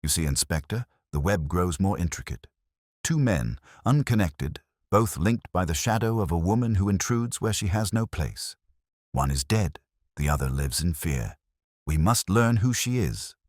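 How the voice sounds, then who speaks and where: A man plays a keen Victorian detective from an audiobook mystery. His voice is extremely deep and moves expressively, with a formal, deliberate authority. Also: expressive, no echo, studio quality. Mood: grave, probing. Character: keen, commanding Victorian male detective, calm and incisive.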